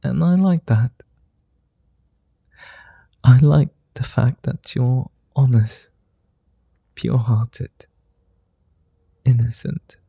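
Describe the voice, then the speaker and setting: A man voicing a flirty, tender male vampire in an ASMR roleplay, speaking slowly, softly and formally, often in whispers, close to the mic. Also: medium pitch, gravelly, breathy edge, no echo, heavily acted. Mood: tender whispering. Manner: slow, soft and often whispered; old-fashioned formal speech.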